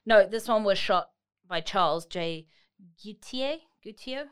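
A woman's voice: mid-range voice, animated, measured pace, a bit bright, clean tone. A woman co-hosting a podcast, retelling history in a casual, wry and informal way. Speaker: podcast co-host.

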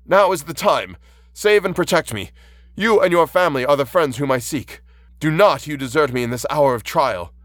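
A man's voice: medium pitch, animated, normal speed, fairly bright tone, no room sound, lightly acted. A man voicing an outcast monster in an audiobook, anguished and pleading, with formal, fervent, eloquent speech. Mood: desperate pleading.

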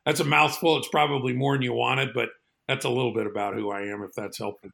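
A man's voice: medium pitch, steady tone, quick pace, vocal fry, a bit bright. A man in his fifties, a former Marine guest on a podcast, answering in an earnest, reflective way with frequent restarts. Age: 50s. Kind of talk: conversational interview answers.

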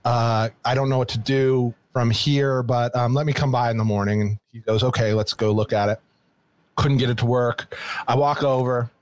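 A man's voice: mid-range voice, steady tone, normal speed, a little mellow, dry recording. Male podcast co-host, rambling and self-deprecating, casual delivery, close dry sound. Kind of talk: casual banter and storytelling.

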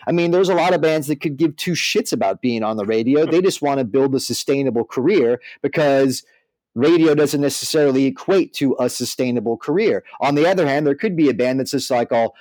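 A man's voice: medium pitch, a little mellow, no room sound, poor audio. A man co-hosting a casual discussion, explaining his opinions step by step and checking in as he goes. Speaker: podcast co-host and band manager. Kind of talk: casual music industry discussion.

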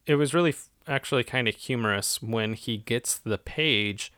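A man's voice: medium pitch, moderate pace, fairly bright tone, no echo, studio quality. A man co-hosting a movie podcast, recapping a film in a casual, jokey and informal way.

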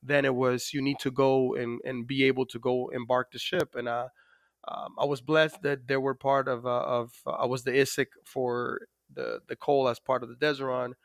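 Dominican-accented man, smooth and dry. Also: mid-range voice, level tone, no room sound. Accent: Dominican Spanish. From Dominican Republic. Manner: halting, many fillers. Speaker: guest, Navy master chief. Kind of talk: interview storytelling.

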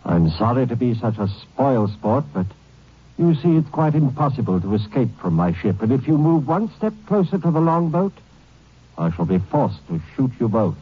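Brief: a husky suave sea captain villain, lively lilt, tinny old radio. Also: male voice, medium pitch, normal speed. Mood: menacing. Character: menacing, mockingly polite male ship captain.

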